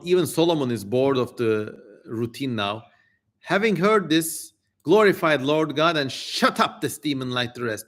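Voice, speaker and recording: Male streamer, unhurried delivery, average pitch, theatrical reading, casual joking asides. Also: a bit bright. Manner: theatrical, jokey, informal swearing. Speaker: streamer, host.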